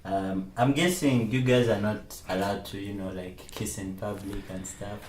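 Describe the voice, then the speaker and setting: A man's voice: on the low side, steady tone, unhurried, a little mellow, slight background noise. A man from Uganda interviewing a guest with short prompting questions. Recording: echoey room with faint ambience.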